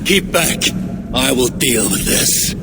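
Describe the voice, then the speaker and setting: The proud warrior god in a video game cutscene, delivering formal oaths in a slow, gravelly voice. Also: male voice, medium pitch, lively intonation, heavy background noise. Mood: fierce anger. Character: proud, vengeful male Norse god warrior. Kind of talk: dramatic video game acting.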